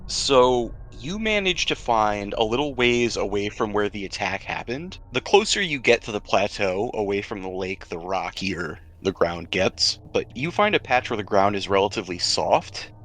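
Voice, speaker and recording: A man's voice: on the low side, very animated, moderate pace, few pauses, a bit bright. A man narrating a tabletop role-playing game as game master, describing scenes with casual asides and small hesitations.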